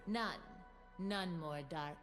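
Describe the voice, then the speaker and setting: A woman's voice: on the low side, slow, over music. A woman voicing a poised, teasing young mage in theatrical one-liners for a video game. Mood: dark, brooding. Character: young female elemental mage, poised, teasing, shifting dreamy to sinister. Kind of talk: video game character voicelines.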